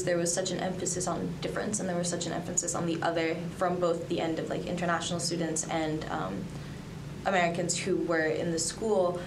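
A young woman from the Dominican Republic and Colombia, a student journalist answering interview questions in a chatty way with many fillers. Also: on the low side. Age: young adult. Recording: echoey room, distant from mic, noisy.

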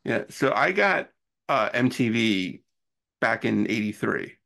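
Guy in his 40s, bit of fry in his voice, chatty excited interviewer, total fan. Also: fairly low pitch, takes it slowly. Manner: enthusiastic, fannish. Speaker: interviewer and host. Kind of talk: casual interview chat.

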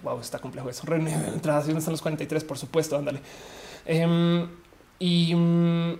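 A woman's voice: sits low, steady tone, moderate pace, creaky, warm tone. A woman from Colombia hosting a live talk show, chatting casually with her audience and rambling with many fillers and restarts. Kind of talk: casual commentary on a livestream. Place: lives in Mexico.

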